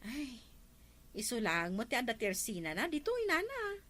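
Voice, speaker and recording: A woman's voice: on the high side, wildly swooping pitch, moderate pace, fairly bright tone, dry recording, full character voice. A woman with an Ilocano accent playing a talkative, mildly exasperated village neighbour in a comedy drama. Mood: chatty.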